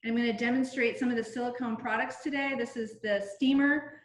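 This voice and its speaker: A middle-aged woman from Southern California runs a casual home cooking demonstration over a video call, her voice sitting a little high. She keeps it chatty and informal, full of ums and restarts. The call audio carries a noticeable roomy echo. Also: fairly even intonation, fairly quick, a little hiss or hum. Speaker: direct-sales cooking consultant.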